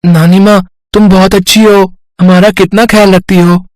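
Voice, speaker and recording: A woman's voice: mid-range voice, little pitch movement, moderate pace, darkish tone, dry recording, natural voice. A woman voicing a sweet, childish little boy in a cartoon.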